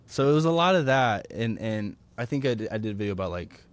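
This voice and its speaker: A man with a fairly low voice speaks as a guest in a relaxed interview. He talks in a halting, conversational way, doubling back to rephrase his thoughts. The sound is dry, with hardly any room on it. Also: no echo. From Florida, United States. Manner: halting, self-correcting, restarts thoughts often. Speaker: guest, stand-up comedian.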